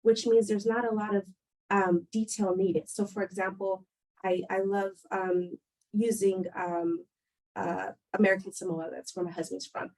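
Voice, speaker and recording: Woman, panel moderator, measured explanations, frequent fillers, mid-pitched voice. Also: little pitch movement, a little mellow, low-quality recording. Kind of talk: panel discussion.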